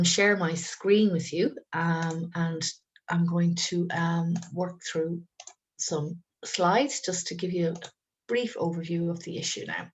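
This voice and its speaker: A woman, giving a careful webinar talk as a trainer, croaky from a head cold, with many pauses and ums. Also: fairly low pitch. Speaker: trainer and guest speaker. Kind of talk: webinar presentation.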